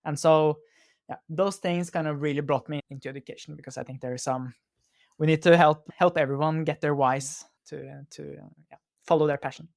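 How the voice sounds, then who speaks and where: A man's voice: fairly high pitch, steady tone, a little fast, vocal fry, a little mellow, no echo, studio quality. A young man with a Norwegian accent, speaking as an interview guest in a hesitant way, with many fillers and restarts. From Norway. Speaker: guest, co-founder. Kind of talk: casual interview talk.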